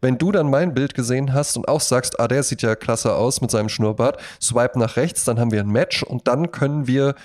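A man's voice: mid-range voice, fairly quick, hardly any pauses, darkish tone. A man chatting casually as a podcast co-host, joking and acting out little quotes as he explains things. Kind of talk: casual conversational chat.

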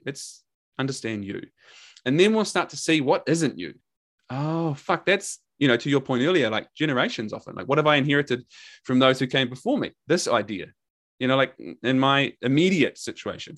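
This is a New Zealand man guesting on a podcast and talking about his coaching work. He speaks candidly and with energy at a middling pitch. He swears freely and stacks repeated words for emphasis. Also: a bit bright. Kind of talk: casual podcast conversation.

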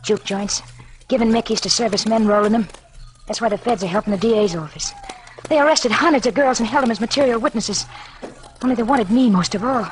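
A woman's voice: a little high, a little fast, a little hiss or hum, lightly acted, low-quality recording. An actress playing a frightened, streetwise gangster's girlfriend on the run in a 1940s radio drama, talking anxious American slang. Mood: anxious, pleading. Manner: slangy, anxious moll talk.

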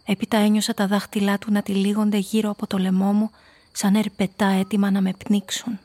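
A woman's voice: medium pitch, completely flat delivery, mellow, close to an everyday voice. An actress playing a frightened female blackmailer who tells her own story intimately in a scripted radio play. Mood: tense, measured. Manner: intimate, confessional first-person storytelling.

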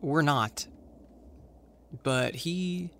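A man's voice: on the high side, vocal fry, sharp tone, exaggerated voice. Anxious, wry teenage boy. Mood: wry, reflective. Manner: halting, dry, self-conscious. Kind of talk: naturalistic audio drama acting.

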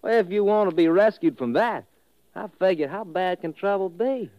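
An actor playing a folksy, gleeful backwoods sailor with a drawling American Southern accent in 1950s radio drama style. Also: male voice, high voice, animated, moderate pace, darkish tone, no room sound. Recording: dull vintage radio recording.

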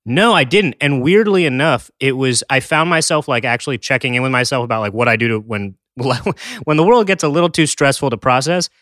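A man's voice: mid-range voice, animated, brisk, fairly bright tone, no echo, clean studio sound. A man co-hosting a podcast in casual chat, joking and wry. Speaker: podcast co-host.

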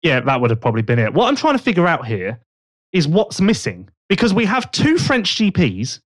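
A man's voice: on the high side, huge pitch swings, a little fast, dry recording, studio quality. A man hosting a casual podcast, chatting and joking while he thinks aloud. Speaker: podcast host.

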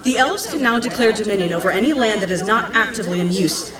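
A woman's voice: mid-range voice, lively intonation, quick pace, a crowd in the background, close to an everyday voice. A woman playing an earnest, resolute young village messenger, giving a formal public report in an audio drama. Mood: earnest, urgent. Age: young adult. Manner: formal, declarative public speaking. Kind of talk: scripted audio drama acting. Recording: echoey, faint background noise.

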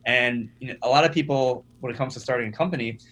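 A young man, a startup founder, talking casually as a podcast guest, energetic and often repeating his first words. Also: medium pitch, fast, poor audio. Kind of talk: conversational podcast interview.

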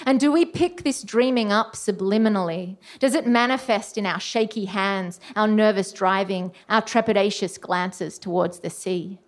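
A woman's voice: mid-range voice, moderate pace, hardly any pauses. A woman reading her own literary short story aloud as its writer.

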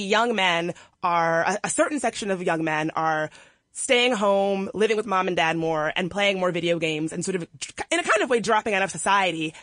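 Raspy woman with a cold, chatty. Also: lowish voice, fairly bright tone, dry recording, clean studio sound. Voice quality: raspy, smoky. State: slightly hoarse from a cold. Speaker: podcast co-host. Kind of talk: casual chat.

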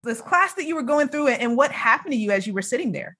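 Bright-voiced woman podcast host. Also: high-pitched, animated, fast talker. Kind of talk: conversational faith interview.

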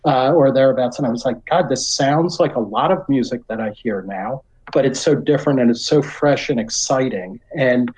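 A man's voice: mid-range voice, normal speed, few pauses, darkish tone, slightly rough, rough recording. A middle-aged or older man with an American accent, talking as a podcast guest in a casual, reflective, rambling way full of "you know". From New York area, United States.

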